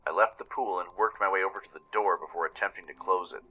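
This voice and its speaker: A man narrating a scripted first-person story dramatically, with deliberate emphasis. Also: sits low, lo-fi sound.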